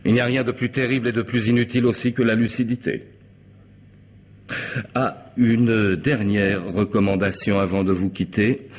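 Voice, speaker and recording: An actor playing a sardonic, half-mad hired killer in a French radio mystery, mocking and unhurried in his false courtesy. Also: fairly low pitch, level tone, lightly acted. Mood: cajoling, sinister.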